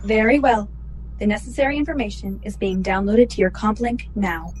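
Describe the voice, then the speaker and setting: A woman playing a calm, obedient spaceship computer in a sci-fi radio play, speaking formally, precisely and in measured phrases. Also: mid-range voice, natural voice. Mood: calm, cautious.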